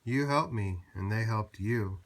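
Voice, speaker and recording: A man's voice: fairly low pitch, a little slow. Man as a big blustering storybook dump truck. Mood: grateful. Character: big self-important male dump truck, later grateful.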